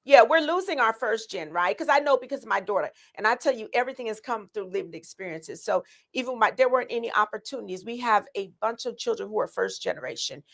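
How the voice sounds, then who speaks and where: A woman's voice: on the high side, lively intonation, fast talker, gravelly, hardly any pauses, a bit bright. A woman running for county commissioner, earnestly laying out her plans with many ums and restarts. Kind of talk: answering interview questions.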